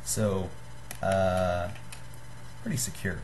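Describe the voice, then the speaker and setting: A young man giving a casual how-to demonstration to a webcam in a small room, halting and apologetic. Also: deep voice, level tone, a little mellow, a little hiss or hum. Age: young adult. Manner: halting, apologetic, self-deprecating. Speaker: hobbyist giving a homemade gadget tutorial. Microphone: webcam or computer microphone at desk distance.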